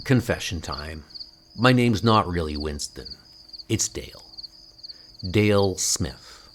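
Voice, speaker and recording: A man voicing a demented, lonely young man in a comic book dub, confessional and drawn-out. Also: low-pitched, lively intonation, a little hiss or hum. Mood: cold, confessional.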